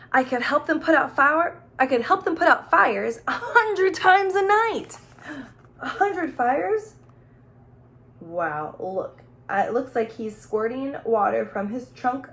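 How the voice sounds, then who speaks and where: A woman teacher reading a picture book aloud to young children, playful and expressive, pausing to ask simple questions and explain. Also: high-pitched. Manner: expressive, playful, with gasps and exclamations. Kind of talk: children's storybook read-aloud with questions and asides.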